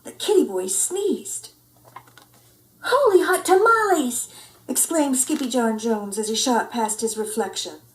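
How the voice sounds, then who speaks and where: Animated high-voiced woman reading a storybook. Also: normal speed, hardly any pauses, distant mic. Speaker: children's storybook reader. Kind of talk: expressive read-aloud narration. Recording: roomy indoor echo.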